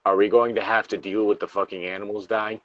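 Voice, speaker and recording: A man's voice: on the low side, level tone, fast talker, fairly bright tone, no room sound, highs cut off. A man reading horror stories as a co-host, with casual, sardonic, sweary asides. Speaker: co-host reading video game creepypastas.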